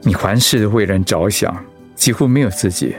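An actor with a Taiwanese accent playing a gentle, ailing pastor and husband in a radio drama, earnest and reflective. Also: male voice, on the low side, moderate pace, soft background music, lightly acted. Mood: tender, reflective. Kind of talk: Mandarin radio drama acting.

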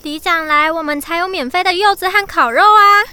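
A woman's voice: very high voice, fairly quick, background noise. A woman voicing a cute, perky, teasing little girl in a children's radio drama.

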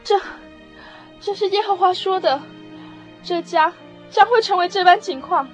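A woman's voice: extremely high pitch, measured pace. An actress playing a distraught, pleading queen and worried mother with emotional radio drama delivery. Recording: music behind the voice.

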